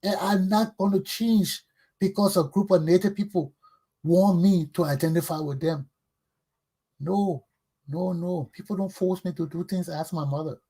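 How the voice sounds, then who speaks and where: A man's voice: sits high, fairly even intonation, moderate pace, mellow. A middle-aged man with a Liberian accent delivers an impassioned, combative political monologue as a talk show host, emphatic and preachy, hammering phrases through repetition.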